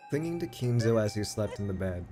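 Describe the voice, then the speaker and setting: A man's voice: fairly low pitch, big pitch swings, fairly quick. A man streaming a game, reading a visual novel aloud and reacting casually. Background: music.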